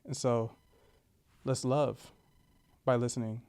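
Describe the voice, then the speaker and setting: A young adult man hosting a podcast, upbeat and energetic as he introduces the show and questions his guest. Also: mid-range voice, slow pace, clear, resonant tone. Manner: upbeat, energetic, laughs often. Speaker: podcast host.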